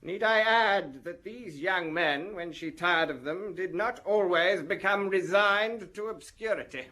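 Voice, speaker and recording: High, pompous, flamboyant lawyer. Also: male voice, unhurried, bright, forceful tone. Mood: pompous, mock reluctant. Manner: pompous, theatrical, florid. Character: flamboyant, insinuating male French defence lawyer addressing press. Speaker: actor in radio drama. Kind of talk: theatrical courtroom-style oratory in radio drama.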